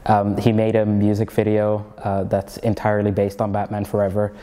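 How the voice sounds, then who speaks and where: A young Norwegian-born man with an American accent, his voice low and mellow. He chats casually, rambling with many fillers and restarts. The sound carries a long reverb. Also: monotone, normal speed. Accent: American-sounding English learned in Egypt. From Norway. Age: young adult. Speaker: comedian and student guest. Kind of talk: casual interview chat.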